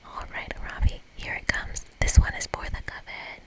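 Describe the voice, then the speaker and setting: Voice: normal speed, a bit bright, lightly acted. Whispering earnest young woman played by ASMR reader. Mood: nervous.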